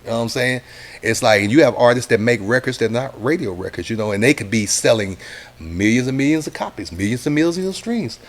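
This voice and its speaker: A middle-aged man, a radio DJ talking casually in an interview, animated and acting out what other people say. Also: medium pitch, fast. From Texas, USA. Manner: animated, acts out quoted people, says "you know what I'm saying" a lot.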